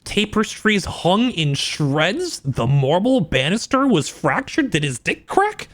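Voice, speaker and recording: A man with a high voice talks like a casual livestreamer reacting to things. His pitch moves around a great deal, giving him a very animated sound. He is slangy and joking, with an incredulous edge. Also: takes it slowly. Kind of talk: casual livestream reaction with book read-outs.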